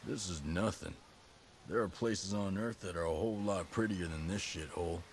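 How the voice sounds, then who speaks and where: Gruff game soldier, really low voice, dry n wry, background noise. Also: male voice, moderate pace. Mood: dry, curious. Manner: dry, wry, plain-spoken. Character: gruff, sardonic modern male special forces soldier. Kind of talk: video game cutscene acting.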